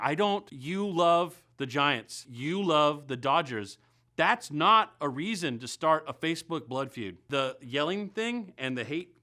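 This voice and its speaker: A man's voice: medium pitch, sharp tone. An American man hosting a comedy web series, delivering a scripted monologue to viewers in a wry, sarcastic, emphatic way.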